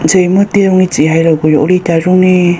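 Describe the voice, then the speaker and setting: A man's voice: high-pitched, little pitch movement, a little fast, dark tone, a little hiss or hum, close to an everyday voice. A man voicing a young man courting a dragon maiden in an amateur cartoon dub, speaking in short, repeated phrases. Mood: earnest.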